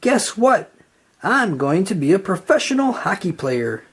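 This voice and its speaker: A storyteller voicing a cheerful, eager young sponge fry cook in a children's storybook, exclaiming excitably. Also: medium pitch, unhurried, voice pushed up, full character voice. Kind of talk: children's storybook read-aloud.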